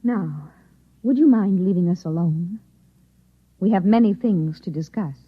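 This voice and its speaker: A woman playing a composed, secretive Martian posing as a wife in an old-time radio drama, poised and deliberate. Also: on the low side, lively intonation, husky, phone-quality sound. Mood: cool, composed.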